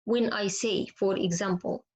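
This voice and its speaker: A woman's voice: mid-range voice, fairly even intonation, measured pace, darkish tone. A woman with an Arabic accent, a native Arabic speaker, teaching recitation rules step by step, repeating points and asking questions that she answers herself. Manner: instructive, repetitive, poses questions then answers. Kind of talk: explanatory lesson with recited examples. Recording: dull, lo-fi class audio.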